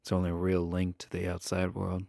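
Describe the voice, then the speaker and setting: A man's voice: deep voice, monotone, brisk, gravelly, mellow, no room sound, studio quality. A man playing a shy, adorkable imprisoned villain in a romantic roleplay, speaking to the listener in a hesitant, stammering, earnest way. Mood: earnest, wistful.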